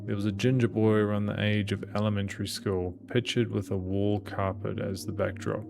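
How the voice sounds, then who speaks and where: A man's voice: on the low side, little pitch movement, fairly quick, gravelly. A man reading a visual novel's narration and dialogue aloud as a gaming host.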